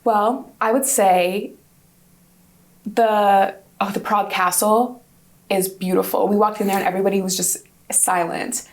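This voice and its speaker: A young woman, a native Hungarian speaker, chats as an interview guest. Her voice sits at a middle pitch, and she talks with enthusiasm. Her answers ramble a little, with restarts and small pauses as she gathers her thoughts. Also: steady tone. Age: young adult. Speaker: guest, study-abroad student.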